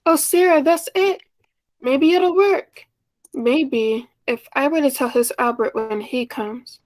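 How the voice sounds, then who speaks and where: A woman's voice: high-pitched, slow, a little mellow, dry recording. A woman acting an anxious, romantic schoolteacher in her mid-thirties in a 1940s style radio play, earnest and hesitant. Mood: flustered, anxious.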